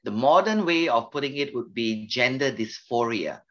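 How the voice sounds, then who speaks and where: A man in his fifties from Malaysia with a Malaysian accent, a pastor telling his life story gently in a podcast interview. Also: mid-range voice, animated, moderate pace, highs cut off.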